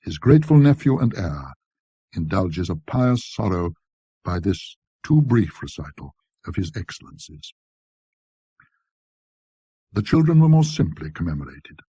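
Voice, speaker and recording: Low, mellow male storyteller. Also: sing-song, breathy edge, dry recording. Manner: precise, scholarly, measured delivery. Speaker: narrator. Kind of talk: scripted ghost story narration in a classic British manner.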